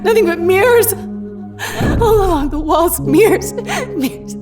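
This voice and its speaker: High-voiced, desperate woman on the run. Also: animated, measured pace, over loud music. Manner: breathy fragments, repeating herself anxiously. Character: frightened, desperate, defiant woman therapist on the run. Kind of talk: audio drama acting.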